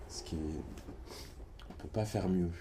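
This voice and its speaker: A man's voice: sits low, measured pace, background noise. A man hosting a book launch at a bookshop, interviewing the author and searching for his words with many hesitations. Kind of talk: interviewing a guest at a live event. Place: bookshop event before an audience.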